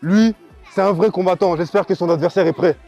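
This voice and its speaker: A man's voice: sits high, fairly quick, vocal fry, dull audio, loud music behind the voice. A man talking up a fighter to camera.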